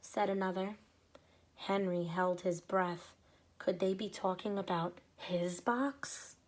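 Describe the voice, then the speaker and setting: A woman teacher reading a picture book aloud to children, with expressive pacing and short explanatory asides. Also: medium pitch, slow pace.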